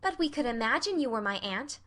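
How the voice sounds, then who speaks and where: Storybook orphan girl, played by a woman: high, bright, wordy and dramatic, earnest and pleading. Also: sing-song, a little fast. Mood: wistful. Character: earnest, imploring, dreamy young orphan girl, talkative. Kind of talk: audiobook character voice.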